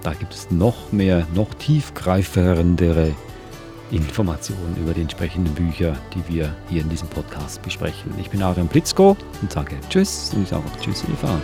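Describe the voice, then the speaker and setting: A man hosting a radio podcast, chatting in a relaxed way with occasional filler pauses. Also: low voice, creaky, over music. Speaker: podcast host.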